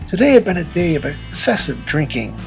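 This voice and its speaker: A performer narrating a folktale audio drama in a measured, theatrical storybook manner with a husky, gravelly voice. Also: mid-range voice, big pitch swings, over loud music. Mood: dramatic.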